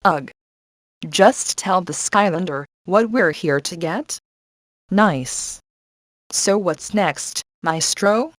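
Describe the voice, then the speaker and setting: A woman's voice: medium pitch, dry recording, studio quality, close to an everyday voice. A woman playing a brave, sassy adventurer in a video game, briefing heroes with upbeat confidence and wry asides. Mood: wry, exasperated. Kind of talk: video game character voice lines.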